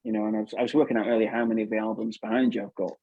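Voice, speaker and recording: A man's voice: lowish voice, flat delivery, racing, warm tone, husky, no room sound, muffled recording. A man giving casual advice as an interview guest, chatty and repeating himself for emphasis. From England.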